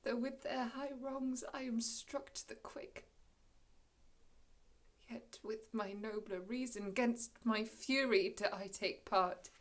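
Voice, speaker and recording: A solemn, commanding aging sorcerer played by a woman delivers a classical Shakespearean monologue. The voice sits fairly high and moves very slowly, with weighty pauses and a measured, theatrical shaping of each phrase. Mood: hushed, forgiving. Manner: measured, dramatic, long pauses, swings from hushed to declaiming.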